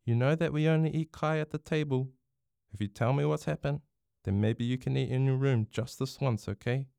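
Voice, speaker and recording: Man playing gentle New Zealand dad, clear-voiced. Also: medium pitch, fairly even intonation, normal speed, no room sound, clean studio sound, voice pushed up, natural voice. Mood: gentle, concerned. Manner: soft, reassuring. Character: gentle, caring father of a young girl.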